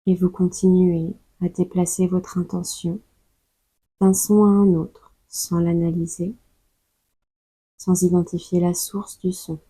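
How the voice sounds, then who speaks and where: A woman guides a meditation in a lowish, husky voice. She takes her time, calmly giving soothing instructions and leaving long pauses. The recording is dry and close. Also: dry recording, poor audio. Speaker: yoga nidra guide. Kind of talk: slow guided meditation narration.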